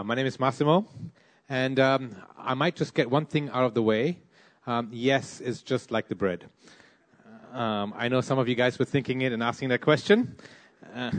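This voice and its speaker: A young preacher from Malaysia delivers a sermon in a mid-range voice. He talks in hesitant phrases and reaches often for "uh" and "um" as he works through his points. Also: mid-range voice. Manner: hesitant, many "uh" and "um" fillers.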